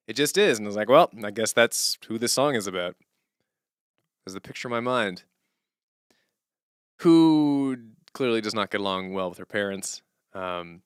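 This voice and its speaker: Male streamer, lowish smooth voice, casual and animated, rambling thinker-aloud, dry close sound. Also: dry recording. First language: English as main language, German heard at home. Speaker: streamer and songwriter. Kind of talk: casual unscripted stream talk.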